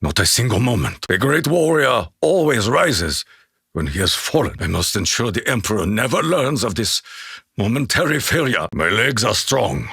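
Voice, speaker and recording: An actor voicing a solemn, proud Japanese samurai warrior for a video game, with a raspy voice, a Japanese accent and formal, grave phrasing. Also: male voice, mid-range voice, big pitch swings, moderate pace, a bit bright, no room sound, studio quality, heavily acted. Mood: urgent, fierce. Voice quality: raspy, gruff. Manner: formal, grave, proverb-like phrasing with dramatic emphasis. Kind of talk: video game character voice lines.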